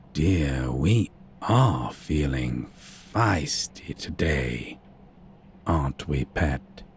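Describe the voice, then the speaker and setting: Man playing a very deep, slow-drawling sadistic vampire. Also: darkish tone, slight background noise, full character voice. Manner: drawling, condescending, menacing, archly formal. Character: sadistic, haughty male vampire captor, mockingly theatrical. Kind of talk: ASMR roleplay acting.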